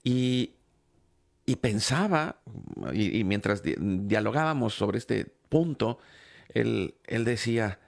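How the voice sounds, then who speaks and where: A man's voice: lowish voice, lively intonation, slow, creaky. A man hosting a faith program, telling a personal story in a reflective, hesitant way with many fillers and restarts.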